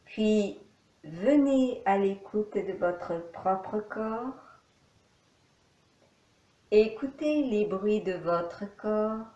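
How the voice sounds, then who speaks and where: A woman teacher guiding a yoga nidra relaxation session in slow, soft, calm instructions with long pauses. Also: mid-range voice, roomy sound, dull audio. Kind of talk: guided relaxation.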